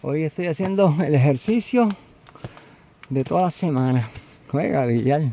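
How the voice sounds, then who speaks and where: A man from Puerto Rico with a Puerto Rican accent, vlogging casually as he walks outdoors, halting, with many fillers and restarts. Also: on the high side, animated, dark tone, a little hiss or hum. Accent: Puerto Rican Spanish. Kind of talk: casual walking vlog. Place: outdoors, walking.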